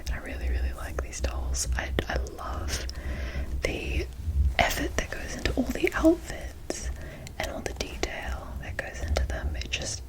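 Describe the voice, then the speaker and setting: A young adult woman whispering in a casual ASMR show-and-tell style, breathy and enthusiastic, with halting, unhurried phrasing. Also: low-quality recording. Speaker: ASMR video creator.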